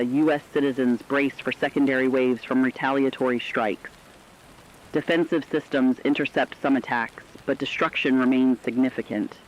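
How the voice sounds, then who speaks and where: A man's voice: mid-range voice, slight background noise, lightly acted. A man playing a resolute war correspondent, delivering scripted dramatic news in a stern, declamatory way. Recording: dull lo-fi radio sound.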